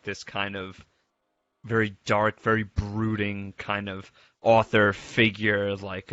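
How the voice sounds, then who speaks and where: A man reminiscing on a casual group call, thoughtful and halting, with informal phrasing. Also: on the low side, bright, forceful tone, no echo. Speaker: participant in a memorial group call. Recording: dull, dry lo-fi call audio.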